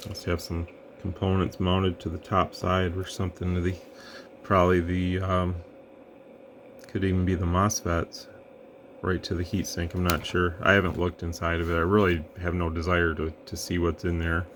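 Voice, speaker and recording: A man's voice: low-pitched, completely flat delivery, moderate pace, background noise. A man casually talking through a hands-on radio equipment demo at his workbench, rambling and thinking aloud as he goes.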